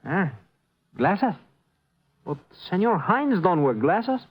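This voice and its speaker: An agitated Mexican hired hand in an old radio drama. He insists emphatically in broken English, his pitch leaping about, and the recording is dull and dated. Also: sing-song, takes it slowly, breathy edge, exaggerated voice. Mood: hesitant, earnest. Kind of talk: 1950s radio acting.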